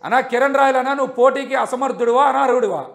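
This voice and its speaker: A combative man with an Andhra Telugu accent, arguing in a live televised debate and pressing his opponent with repeated questions. His voice is very high for a man and gravelly, and he talks quickly. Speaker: politician on a debate panel.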